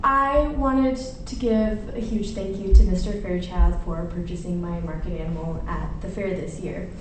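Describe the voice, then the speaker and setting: A woman's voice: fairly low pitch, fairly even intonation, normal speed, slight background noise. A woman from Chile giving an earnest, formal prepared speech as a public commenter at a meeting. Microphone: lectern mic, heard from a distance. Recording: echoey meeting room from far off.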